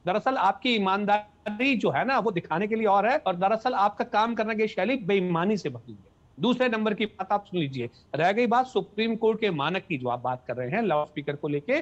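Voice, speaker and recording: High-voiced man arguing on a dull feed. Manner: argumentative, repeats words to hold the floor. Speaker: debate panelist. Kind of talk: heated TV debate argument.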